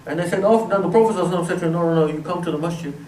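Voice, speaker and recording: A man's voice: high-pitched, very fast, a little mellow. A man giving a religious lecture in a mosque, speaking conversationally with frequent "you know" fillers. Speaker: Islamic teacher. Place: mosque prayer hall. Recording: echoey mosque hall, distant mic.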